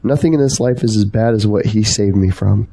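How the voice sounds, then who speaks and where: Man playing an earnest, gravelly-voiced young son. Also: lowish voice, a little fast, mellow, slight background noise, close to an everyday voice. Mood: earnest, resolved. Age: young adult. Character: earnest, devoted young adult son, anxious for his family. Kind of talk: audio drama acting.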